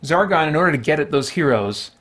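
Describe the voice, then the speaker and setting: A man with a slightly high voice hosts a solo tabletop game session. He gives casual running commentary, talking through each move out loud as he works out what to do. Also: animated, quick pace. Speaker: host of a solo tabletop gaming stream.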